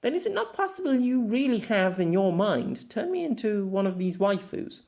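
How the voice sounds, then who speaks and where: A man's voice: high voice, sing-song, moderate pace, a little mellow, voice pushed up. A man voicing a proud, teasing young noblewoman with magic in an audio story, speaking in a haughty, grandly formal way. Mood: reasoning, gentle. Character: young regal woman, proud, theatrical, teasing sorceress.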